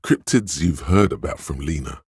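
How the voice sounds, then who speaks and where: A man voicing an insinuating inner voice in a video game, narrating in an intimate, coaxing, knowing and measured way, with a very deep, husky tone. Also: a little mellow, no room sound, clean studio sound, exaggerated voice. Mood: musing. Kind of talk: scripted second-person game narration.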